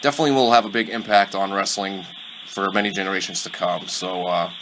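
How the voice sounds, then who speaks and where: A man hosting a podcast interview, relaxed and chatty, with many fillers and quick laughs. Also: sits low, background noise. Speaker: podcast host. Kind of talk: casual interview.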